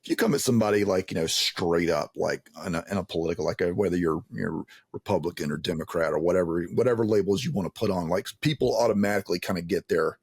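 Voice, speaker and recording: Gravelly, husky man in casual conversation. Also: low-pitched, a little mellow, dry recording, clean studio sound. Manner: halting, rambling, informal. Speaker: podcast interviewer.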